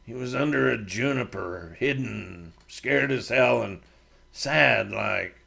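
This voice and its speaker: Stubborn frontiersman, slow gravelly grumble, read by a male narrator. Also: medium pitch, sharp tone, exaggerated voice. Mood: grumbling.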